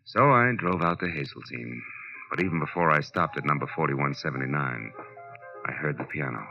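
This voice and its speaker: An actor playing a wry, world-weary private detective in 1940s radio noir style, dry and sardonic. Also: male voice, extremely low pitch, animated, normal speed, vocal fry, exaggerated voice. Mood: brooding narration. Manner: dry, hard-boiled, sardonic. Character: wry, tough, world-weary male private detective narrating his case. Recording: muffled old radio recording with music.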